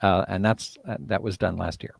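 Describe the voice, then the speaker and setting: Low-voiced man, dry close sound. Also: fairly even intonation, moderate pace, creaky, breathy edge, no room sound. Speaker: playwright and director, interview guest. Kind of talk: casual live discussion.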